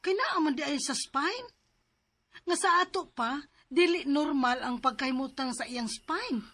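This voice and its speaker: A woman's voice: high voice, expressive, measured pace, a bit bright, heavily acted. A woman playing a concerned, insistent friend in a radio drama, urging and emphatic, with a Cebuano accent. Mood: urgent concern.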